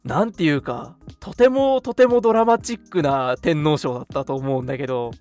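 A young man co-hosting a radio show, casually recounting things in an animated way and acting out reactions. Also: a little high, a little fast, faint music behind. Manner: animated, acts out reactions while telling stories. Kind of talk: casual chat, recounting an outing.

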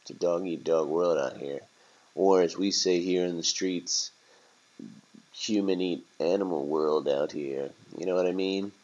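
A man's voice: deep voice, unhurried, a little mellow, muffled recording. A man voicing a jaded, laid-back street rat in a story, blunt but friendly and full of casual slang. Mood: shrugging, friendly.

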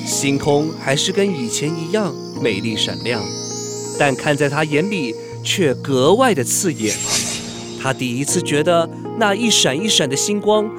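A man narrates a radio story dramatically. His fairly high voice swings widely in pitch, and loud music plays beneath him. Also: animated, measured pace.

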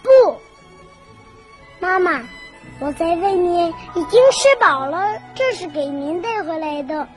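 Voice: very high voice, expressive, takes it slowly, soft background music, full character voice. An actor playing a polite, devoted young crow child in a children's radio drama. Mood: caring. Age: child figure. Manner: polite, earnest, eager.

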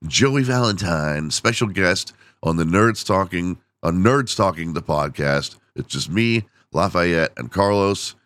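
A man's voice: deep voice, lively intonation, a little slow, few pauses, a bit bright, no room sound. A man hosting a casual solo podcast, rambling and self-deprecating with frequent laughs, and losing his voice from overuse. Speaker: podcast host.

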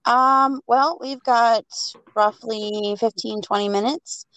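Slow-paced woman hosting a casual podcast. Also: on the high side, steady tone, a bit bright, no echo, lo-fi sound. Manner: halting, pausing between phrases when improvising. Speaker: podcast host. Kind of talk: casual chat and improvised storytelling.

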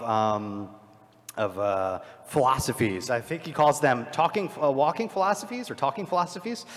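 Man, live panel talk, medium pitch, analytical, halting, long reverberant hall sound. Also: fairly bright tone. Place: large convention panel room with microphones.

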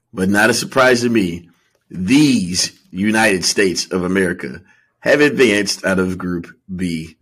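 A man hosting a casual sports discussion, speaking in a measured way with wry teasing. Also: lowish voice, fairly bright tone, husky. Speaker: sports podcast host.

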